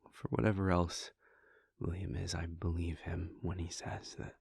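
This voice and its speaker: A man voicing a devoted adult vampire prince in an audio roleplay, speaking in a hushed, halting, intimate way close to the microphone. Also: low-pitched, flat delivery, vocal fry, breathy edge, no echo, full character voice. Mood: reflective. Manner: hushed, halting and intimate, with soft sighs and pauses. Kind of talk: audio roleplay aimed at the listener.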